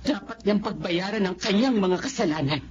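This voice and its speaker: A woman's voice: medium pitch, lively intonation, normal speed, a little mellow, lo-fi sound, close to an everyday voice. A Filipino actress playing a caring middle-aged mother in a radio drama, anxious, coaxing and protective of her daughter. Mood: indignant.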